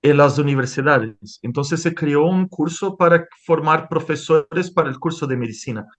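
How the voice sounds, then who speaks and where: A Brazilian man whose native tongue is Portuguese talks through an interview in Spanish, stopping, restarting and filling gaps as he searches for words. His voice sits a bit high for a man. The sound comes over a video call. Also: normal speed. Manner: hesitant, many restarts and fillers. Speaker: interviewed scientist. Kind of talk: conversational interview.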